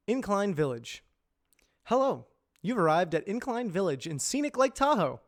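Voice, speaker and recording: A man with a fairly high-pitched voice narrates scripted informational material. He speaks in a measured presenter's manner, enunciating carefully as in an educational voice-over. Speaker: amateur voice actor practising reads.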